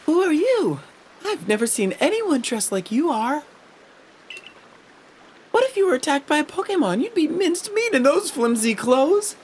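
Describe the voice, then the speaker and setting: A woman's voice: sits high, very animated, a little slow, long pauses, slight background noise. A woman voicing a chatty, worried young villager in a video game, fretful and exclamatory, with music behind. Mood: curious, worried. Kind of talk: voiced video game dialogue.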